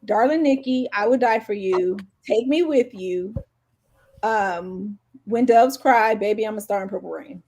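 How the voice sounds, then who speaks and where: A woman's voice: medium pitch, a little slow, a little mellow, a little hiss or hum. A woman co-hosting a podcast, talking casually and emphatically about music as an opinionated fan. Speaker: podcast co-host.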